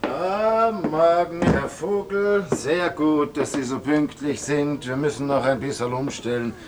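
Brisk, self-assured station boss played by an actor. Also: male voice, fairly high pitch, heavy background noise, natural voice. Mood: brisk, pleased. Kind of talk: radio drama dialogue.

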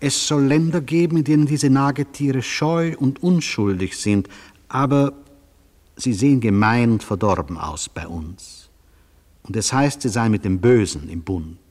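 A stern, aging judge in a 1970s radio drama. He questions in formal, clipped, measured phrases with a dry official tone. The mid-pitched voice rings with long hall reverb. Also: darkish tone. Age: older. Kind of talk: 1970s literary radio play acting.

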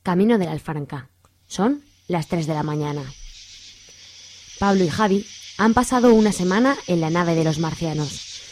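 A woman's voice: fairly low pitch, moderate pace, pauses often, a little mellow. A woman with an accent from Spain, reading scripted narration for a radio serial.

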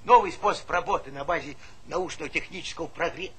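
A man's voice: on the high side, fast, a bit bright, husky. An actor playing a talkative, stubborn old village grandfather in a stage comedy, folksy and argumentative, repeating himself. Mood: cocky. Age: older. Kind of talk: theatrical stage comedy acting. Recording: noisy 1970s theatre play recording.

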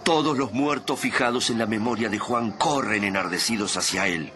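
An actor playing a grave narrator of an apocalyptic radio drama, phrasing solemnly and deliberately for suspense. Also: male voice, lowish voice. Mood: grave, ominous. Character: grave male narrator of an apocalyptic science-fiction tale. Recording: loud music bed behind voice.

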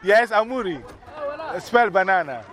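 A man's voice: sits high, expressive, unhurried, a bit bright. A man from Ghana, hosting a teasing street quiz and laughing often. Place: busy street outdoors. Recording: noisy outdoor crowd. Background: crowd chatter.

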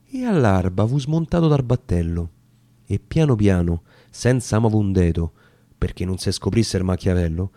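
Male actor, Roman accent, dark mellow tone, dry close sound, dramatic verse storytelling. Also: low voice, dry recording. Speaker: actor reading poetry. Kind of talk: dramatic storytelling recitation of dialect sonnets.

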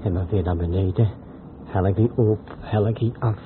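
An actor playing a reckless truck driver in a radio play. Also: male voice, lowish voice, steady tone, moderate pace, natural voice. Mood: cocky, carefree. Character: reckless younger truck driver talking to himself, turning panicked. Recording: muffled noisy old radio play recording.

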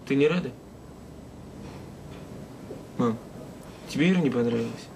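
An actor playing a caring young grown son in a naturalistic radio drama, direct and insistent. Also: male voice, mid-range voice, a little slow, mellow, slight background noise, natural voice, low-quality recording. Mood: earnest. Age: young adult.

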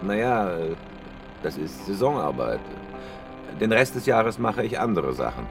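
A low-pitched, cool-headed male criminal in a radio crime play. He keeps his guard up and stays terse and evasive, yet his melody moves around a lot. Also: big pitch swings, a little slow, loud music behind the voice. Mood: guarded, casual. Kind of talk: radio crime drama acting.

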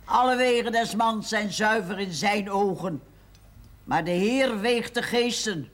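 A woman's voice: medium pitch, unhurried, natural voice. An actress playing a pious, sardonic spinster aunt in her eighties in a television drama, solemnly declaiming like someone reciting scripture. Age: 80s. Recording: faintly noisy 1970s television soundtrack.

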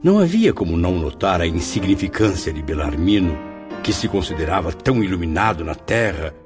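Proud novelist's spirit, lowish swooping voice, flattering, florid radio drama. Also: male voice, a little fast, music in the background. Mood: haughty, confiding. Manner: florid, formal, theatrical.

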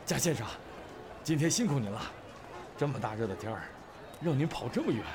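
A man's voice: mid-range voice, big pitch swings, a little fast. A man acting a bossy yet fawning film-crew chief in an audio drama, bustling and distant over outdoor noise. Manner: obsequious, bustling.

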